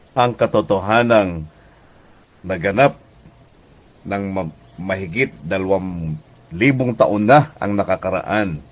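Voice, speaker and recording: A middle-aged Filipino man speaking as a pastor hosting a devotional radio program, deliberate and preacherly, often pausing mid-phrase. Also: sits low. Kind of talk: devotional radio sermon with greetings.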